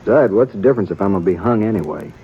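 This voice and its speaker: Swooping, sardonic young cowboy prisoner. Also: male voice, fairly low pitch, animated, very fast, husky. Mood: sardonic, defiant. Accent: American. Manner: folksy rural speech, sardonic. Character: wry, bitter young frontier prisoner in his twenties. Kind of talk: 1950s radio western acting. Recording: tinny, noisy old radio broadcast.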